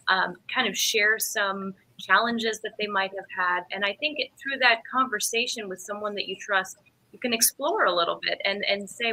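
Bright-voiced woman on a video call. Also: mid-range voice, rough recording. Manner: earnest, conversational, many fillers. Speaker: lay ministry panelist. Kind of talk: casual panel discussion. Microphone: webcam on video call.

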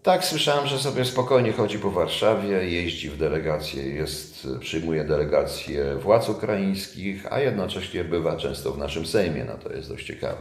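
A man's voice: sits low, normal speed, few pauses. A man in his fifties, a former intelligence officer as guest, speaking emphatically and with indignation. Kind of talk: political interview. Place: room with leather sofa, indoors. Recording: echoey room.

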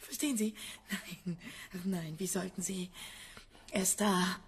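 An actress playing a sick, embittered scientist's wife in a radio drama, agitated, halting and insistent. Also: female voice, fairly low pitch, fairly even intonation, slight background noise. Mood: unhinged, insistent. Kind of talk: German radio drama acting.

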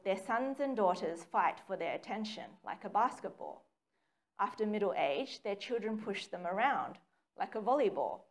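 A young woman reading a prepared talk in a measured way, recorded by a camera across a small meeting room. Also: medium pitch. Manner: measured, reads from notes with occasional ums. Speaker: speaker giving a dharma talk. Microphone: far camera, no mic seen.

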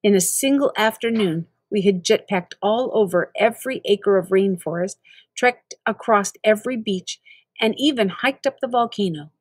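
A woman's voice: mid-range voice, normal speed, dry recording. An older woman with an American accent reading a children's novel aloud expressively. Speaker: storybook reader.

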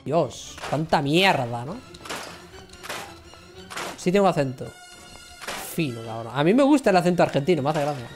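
A man's voice: fairly high pitch, sing-song, moderate pace, long pauses, soft background music. A man in his thirties chatting casually with his viewers while he plays, joking and mocking himself from his gaming chair at home. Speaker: livestream gamer. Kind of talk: casual banter with chat.

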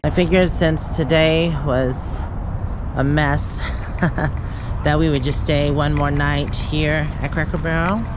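A woman's voice: deep voice, steady tone, slow pace. A woman in her forties chatting casually to a handheld camera while driving and walking outdoors. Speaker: RV vlogger. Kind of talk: casual vlog chat. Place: outdoors and in a truck. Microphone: camera at arm's length. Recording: muffled with loud road noise.

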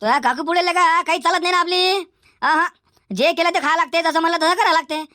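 A man's voice: very high voice, brisk, pushed and bright, dry recording, studio quality. A man voicing a feisty, henpecked old village uncle in a comedy cartoon, with a Varhadi Marathi accent and emphatic, repetitive phrasing. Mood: teasing, wry. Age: older.

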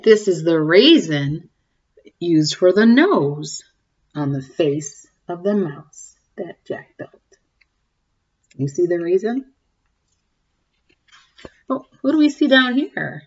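A woman in her forties reading a picture book aloud to young children, expressively, pausing to ask them questions and comment. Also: lowish voice, big pitch swings, darkish tone, husky, lo-fi sound. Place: small home room, indoors. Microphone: webcam or laptop mic at desk distance.

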